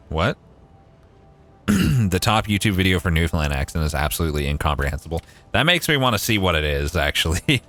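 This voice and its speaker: Low voice, lively intonation, canadian maritime accent, casual streamer chatter, faint music behind. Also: male voice. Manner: chatty, swears casually, thinks aloud while playing. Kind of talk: casual gameplay livestream chat.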